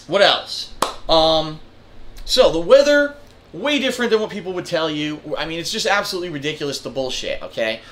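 High, nasal-sounding man ranting. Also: fairly bright tone, slight background noise. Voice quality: nasal, congested. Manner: blunt, profane, complaining. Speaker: vlogger. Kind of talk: ranting monologue clip.

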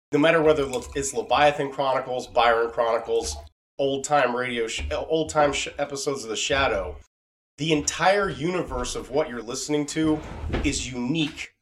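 A man's voice: mid-range voice, a bit bright. A man hosting a podcast, talking casually and explaining things in an earnest, rambling way. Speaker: podcast host and audio drama maker. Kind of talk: casual conversation.

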